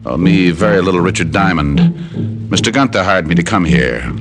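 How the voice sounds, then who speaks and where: A man's voice: deep voice, lively intonation, moderate pace. An actor playing a wry, tough, hard-boiled private detective in an old radio drama, wisecracking and narrating his case in clipped phrases. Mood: measured, probing. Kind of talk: 1940s-50s radio detective acting and first-person narration. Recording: old radio recording, music behind.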